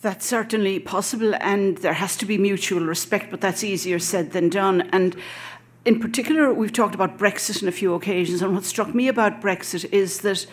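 A woman on a radio panel speaking in a calm, considered way. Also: mid-range voice, a little fast. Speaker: panel guest.

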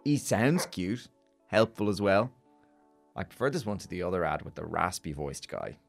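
Deep, dim-witted man. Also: moderate pace, creaky, faint music behind. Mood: naive, blunt. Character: dim, blunt, childlike male radio sidekick. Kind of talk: darkly comic audio drama acting.

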